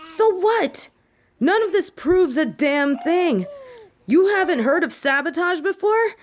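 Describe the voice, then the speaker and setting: A woman's voice: very high-pitched, lively intonation, takes it slowly, slight background noise, pitched above the performer's own voice, heavily acted. A woman playing a defiant, hot-headed young witch student in a fantasy audio roleplay, arguing bluntly and sarcastically. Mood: indignant.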